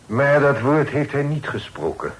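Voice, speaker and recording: A man's voice: mid-range voice, sing-song, moderate pace, lightly acted, poor audio. Bold, insistent outlaw, played by a man. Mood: insistent, urgent. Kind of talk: old Dutch radio play acting. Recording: old radio recording with faint noise.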